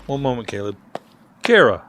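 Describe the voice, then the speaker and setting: An actor playing a prim, reluctant, rule-bound small-town shopkeeper in a radio drama. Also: male voice, medium pitch, sing-song, normal speed, fairly bright tone, slight background noise. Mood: friendly, surprised. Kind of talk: horror mystery radio drama acting.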